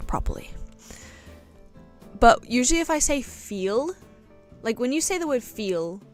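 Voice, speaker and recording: A woman's voice: fairly high pitch, very animated, a little slow, faint music behind. A young woman with a New Zealand accent chatting casually while drawing on stream, rambling with lots of fillers. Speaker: art streamer and English teacher.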